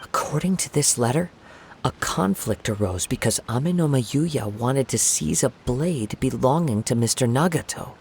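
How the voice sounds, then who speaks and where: A man voicing a calm, thoughtful young wandering samurai in a video game, speaking in measured, formal phrases. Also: medium pitch, gravelly, a little hiss or hum. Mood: calm, pensive.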